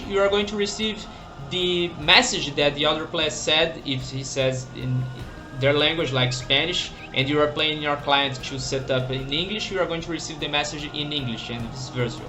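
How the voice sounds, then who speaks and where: A man's voice: fairly high pitch, normal speed, sharp tone, faint music behind, low-quality recording. A man, a game developer presenting a screen-recorded demo, who often restarts sentences while searching for words. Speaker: game developer and server owner.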